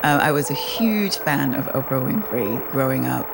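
Woman, 40s, very deep voice, candid storytelling, laughs often. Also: lively intonation, normal speed, creaky, over music. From England, raised in the Caribbean. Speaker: guest sharing her story. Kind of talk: personal storytelling.

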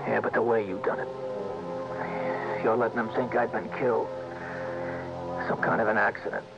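A dying convict in an old American radio drama, bitter yet grateful. His voice is creaky and weary, halting through rough, uneducated phrases. The sound is narrow and dated, with music clearly behind him. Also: lowish voice, flat delivery. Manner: halting, weary, uneducated tough-guy speech. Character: dying early-thirties convict safecracker, bitter but grateful. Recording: band-limited vintage radio recording with music.

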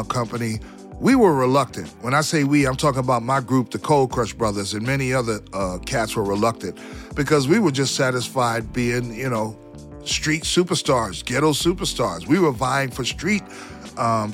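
A man's voice: medium pitch, a bit bright. A middle-aged or older man, a musician, looking back reflectively as a guest in an interview. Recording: music playing behind the voice.

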